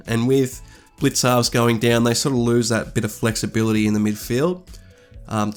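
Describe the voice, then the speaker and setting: A man, stuffy from a cold, talking through football statistics in a measured, thoughtful way with fillers and restarts. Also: fairly low pitch. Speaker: sports analyst. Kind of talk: scripted-ish stats commentary over slides.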